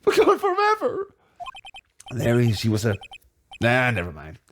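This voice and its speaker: A man's voice: on the low side, expressive, moderate pace, a little hiss or hum. A man doing an improvised comic reading, breaking into hesitant, giggly, sweary asides.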